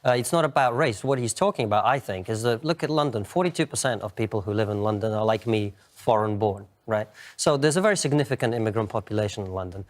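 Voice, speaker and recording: Fast-talking, insistent man from Russia. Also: lowish voice. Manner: argumentative, insistent. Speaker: studio guest. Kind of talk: live TV debate.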